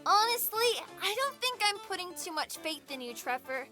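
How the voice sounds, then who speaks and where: Woman doing upbeat little fantasy adventurer girl, super high and bright voice, eager and sincere, audio drama. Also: sing-song, moderate pace, faint music behind, full character voice. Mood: earnest, encouraging.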